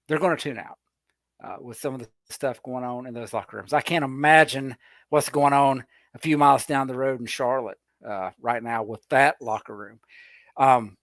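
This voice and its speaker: A man talks through his take on a sports podcast, thinking out loud and doubling back on his words. His voice sits a little high for a man. The sound is dry, with no room around it. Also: dry recording. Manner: rambling, repeats words while thinking. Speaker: podcast panelist. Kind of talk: casual sports chat.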